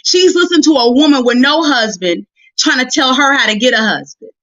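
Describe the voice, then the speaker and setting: A woman preacher with a high-pitched voice and a dry, slightly dull recording. She delivers a motivational Bible message in a folksy, conversational way, addressing women directly. She leans on repeated phrases to drive each point home. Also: brisk, a bit bright, dry recording. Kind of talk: motivational sermon on a live stream. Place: live video stream.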